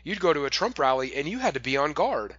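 A man's voice: fairly high pitch, sing-song, fast, fairly bright tone, no echo, lo-fi sound. Emphatic male interview host. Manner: emphatic, building intensity. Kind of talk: political interview.

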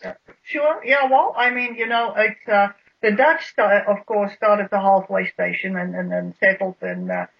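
A South African guest with an Afrikaans accent, explaining history in a halting way with many repeated words. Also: medium pitch, sing-song, gravelly. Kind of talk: radio interview, explaining history. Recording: dull lo-fi radio sound.

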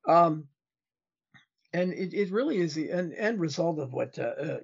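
Hoarse, gravelly man with a failing voice. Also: a little high, lively intonation, muffled recording. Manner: rambling, halting with restarts. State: losing his voice, throat-clearing. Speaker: guest commentator. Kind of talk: political interview.

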